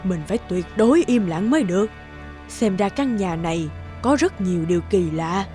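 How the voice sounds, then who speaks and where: An actress voicing a timid, curious and secretly greedy middle-aged nursemaid in a cartoon fairy tale, hesitant and muttering to herself. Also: female voice, mid-range voice, lively intonation, normal speed, warm tone, natural voice. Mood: scheming. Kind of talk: animated fairy-tale dubbing. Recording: background music under the voice.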